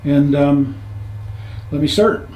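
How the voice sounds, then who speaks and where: A man's voice: on the high side, slow, lo-fi sound. A man hosting an informal interview, with a folksy, easygoing way of phrasing questions. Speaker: interviewer, show host. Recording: echoey room.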